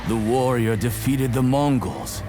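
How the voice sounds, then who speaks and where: Legend narrator for a video game male actor: solemn, measured, slow delivery, prominent music underneath. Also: medium pitch, steady tone, lightly acted. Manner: measured, grave storytelling. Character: solemn male storyteller recounting an old samurai legend. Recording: narration over loud music.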